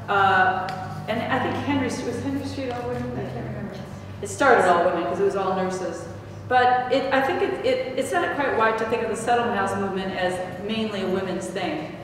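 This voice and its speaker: Older woman, panel speaker, chatty with restarts, heard from a distance in a big echoey hall with noise. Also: mid-range voice. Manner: conversational, restarts and self-corrections. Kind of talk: answering audience questions on a panel. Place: large echoey hall with stone walls. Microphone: table mic, picked up from a distance.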